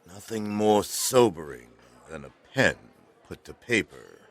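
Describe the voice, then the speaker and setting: A man's voice: fairly low pitch, expressive, unhurried, fairly bright tone. An actor playing a tipsy, conscience-stricken man in an audio drama, stumbling and hesitating over his words. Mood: hesitant, uneasy. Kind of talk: dramatic audio fiction acting.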